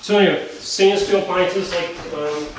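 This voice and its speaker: Echoey, higher-pitched man giving a house tour. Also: fairly even intonation, moderate pace. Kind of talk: casual walk-through tour talk. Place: empty rooms inside a house. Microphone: camera mic at a few metres. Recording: echoey bare rooms, distant mic, noisy.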